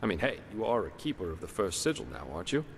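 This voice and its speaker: Low-voiced, offhand fantasy companion. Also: male voice, very animated, fast. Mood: friendly, casual. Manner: offhand, conversational. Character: casual, friendly-seeming male companion turning contemptuous. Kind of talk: fantasy game dialogue. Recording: reverberant hall with faint noise.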